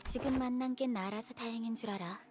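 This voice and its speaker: An actress plays a scornful younger sister in a video game. She is aloof and cool, talking very quickly in a slightly high voice over faint music. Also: a little mellow, lightly acted. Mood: disdainful. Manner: curt, cool.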